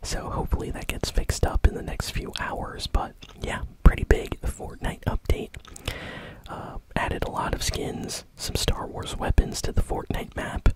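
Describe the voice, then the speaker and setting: An ASMR gaming news host who speaks entirely in a whisper. The delivery is breathy, soft and informal, feeling its way through the commentary with a hesitant, conversational manner. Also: measured pace, poor audio.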